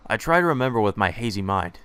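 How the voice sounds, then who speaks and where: A man hosting a let's play, reading a visual novel's first-person text aloud in a measured, slightly hesitant way. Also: lowish voice, very animated, fairly bright tone, smooth.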